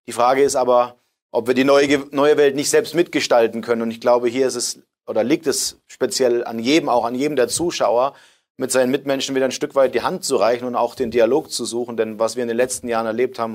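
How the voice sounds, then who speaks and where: A man interviewing his guest, earnest in tone, who asks long winding questions and fills his pauses. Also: mid-range voice. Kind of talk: conversational interview.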